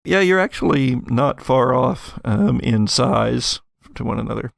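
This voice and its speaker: Male game master, mid-pitched with some fry, improvised tabletop narration, conversational and halting. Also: expressive, no echo, studio quality. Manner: halting, conversational, prone to chuckling.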